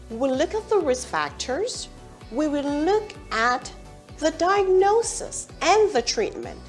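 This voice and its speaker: A woman doctor hosts a television health show from a studio, with a high voice. She swings widely in pitch as she talks. Faint music sits under her. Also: darkish tone. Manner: emphatic, questioning the viewer.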